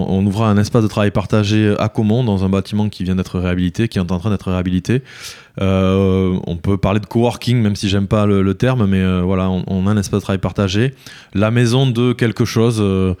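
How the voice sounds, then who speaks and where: Male interview guest, smooth and mellow, halting explanatory delivery. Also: lowish voice. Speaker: interview guest, village mayor. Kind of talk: conversational interview answers.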